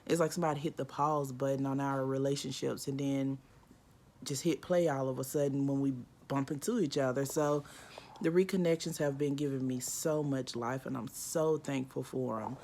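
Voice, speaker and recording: A woman in her early forties talking casually and reflectively to camera, with many "like" and "um" fillers, acting out inner voices with sudden pitch jumps. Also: fairly even intonation, normal speed, darkish tone. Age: 40s. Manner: frequent "like" and "um" fillers. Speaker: vlogger.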